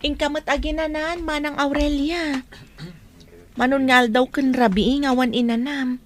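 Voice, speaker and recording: A woman with an Ilocano accent playing a gentle, supportive friend, earnest and comforting, in a radio drama. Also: high-pitched, fairly even intonation, normal speed, natural voice.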